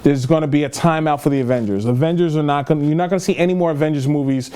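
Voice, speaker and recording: A man's voice: fairly high pitch, very fast, crowd noise. A man co-hosting a film podcast in casual chat, rambling and repeating himself for emphasis. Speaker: podcast co-host reviewing films.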